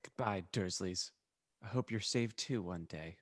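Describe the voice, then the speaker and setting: A man's voice: sits low, measured pace, no room sound, clean studio sound, voice pushed down. A man voicing an earnest, polite young boy wizard in an amateur comic audiobook reading of fan fiction. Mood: solemn farewell. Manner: earnest, inquisitive.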